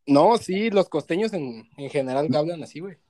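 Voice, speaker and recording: A man's voice: a little high, expressive, normal speed, a crowd in the background. A young man with a Mexican accent in casual, joking podcast chat, playful and full of slang. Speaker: podcast co-host.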